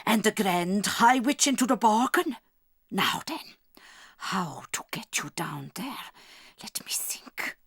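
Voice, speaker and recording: Slow, animated, doting old grandmother. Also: mid-range voice, breathy edge, no echo, clean studio sound, heavily acted. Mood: excited, scheming. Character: doting, conspiratorial old grandmother, sprightly and bold. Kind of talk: audio drama acting.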